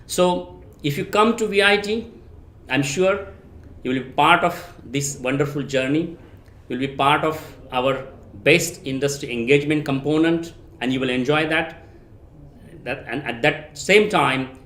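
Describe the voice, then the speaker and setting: A man's voice: mid-range voice, fairly bright tone. Echoey male academic presenter. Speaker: academic dean addressing prospective students. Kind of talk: welcome presentation. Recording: roomy, echoing sound.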